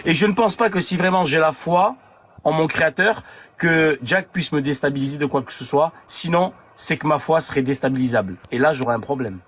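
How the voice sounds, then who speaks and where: A man taking part in a casual live debate, weighing ideas in a measured, reasoning way. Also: on the high side, fairly bright tone, husky, no echo. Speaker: live stream participant, believer.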